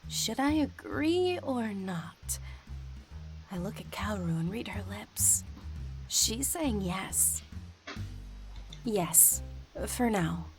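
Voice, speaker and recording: A woman playing a brash, impatient lead investigator in a visual novel, sarcastic and combative. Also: mid-range voice. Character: brash, impatient female investigator in charge of a team. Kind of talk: visual novel voice acting with inner narration. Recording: music behind the voice. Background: game music.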